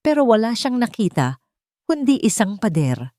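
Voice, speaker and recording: A woman's voice: a little high, sing-song, moderate pace, dark tone, dry recording, clean studio sound. A woman narrating a scripted romantic melodrama in a dramatic storytelling style.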